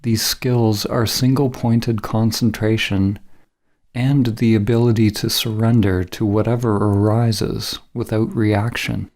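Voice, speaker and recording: A man narrating a scripted guided meditation in a measured, solemn, instructional manner as the guide. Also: fairly low pitch, level tone, darkish tone.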